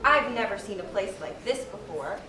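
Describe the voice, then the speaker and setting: Young naturalist played by a woman: curious, observant, bright-eyed puppet-show part, animated pitch, distant mic. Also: moderate pace, crowd noise, lightly acted. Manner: wondering, observant. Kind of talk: children's puppet show acting. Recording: roomy distant live recording with clear noise.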